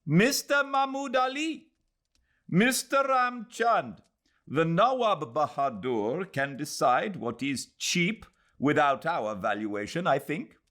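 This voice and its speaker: A man puts on a storybook Indian gentleman who is stiff and offended. He speaks formally and with great emphasis. The pitch jumps high above a narrator's register and swings widely. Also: animated, takes it slowly. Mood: stiffly indignant. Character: stiff, indignant Indian gentleman defending an elder. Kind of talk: audiobook character voice.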